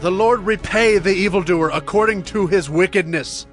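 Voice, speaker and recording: A man's voice: sits high, moderate pace, fairly bright tone. An actor playing a grieving, righteous warrior king in dramatized scripture, declaiming with solemn emphasis. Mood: grave, resolute. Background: music.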